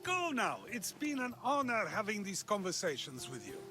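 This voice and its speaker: A teasing ancient philosopher in a video game. His high voice swoops theatrically as he probes, with music playing loudly behind. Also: big pitch swings, moderate pace. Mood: gracious. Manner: theatrical, teasing, rhetorical questioning. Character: playful, probing male Greek philosopher. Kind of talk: video game cutscene acting.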